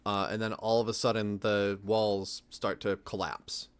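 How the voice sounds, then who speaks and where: A man's voice: fairly low pitch, flat delivery, unhurried, smooth. A man, a voice actor, talking casually in a group commentary on an audio drama.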